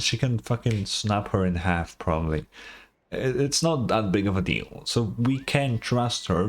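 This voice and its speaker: A man giving casual, rambling, informal game reaction commentary with some swearing. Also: on the low side. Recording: game music faintly behind the voice. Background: game music and dialogue.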